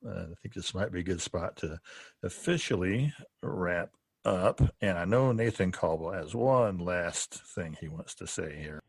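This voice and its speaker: A man hosting a podcast in casual conversation, informal and halting in his delivery. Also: low-pitched, creaky, slightly rough, no echo. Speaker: podcast host.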